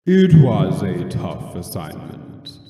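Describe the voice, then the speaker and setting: A man playing a vast, ancient supercomputer in an amateur radio play, speaking gravely and with ponderous superiority. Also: deep voice, sing-song, slow pace, dark tone, full character voice. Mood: superior, grandiose. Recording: distant, long hall-like reverb.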